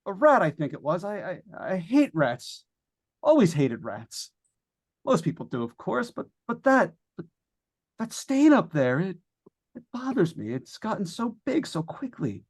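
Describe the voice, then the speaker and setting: A man's voice: sits high, big pitch swings, slightly rough, heavily acted. A man playing a nervous, lonely radio broadcaster unravelling in a haunted house, stammering and rambling in 1940s radio suspense style. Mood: uneasy. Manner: stammering, halting, rambling with repeated words. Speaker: actor in old-time radio play. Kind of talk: 1940s radio suspense acting, live monologue.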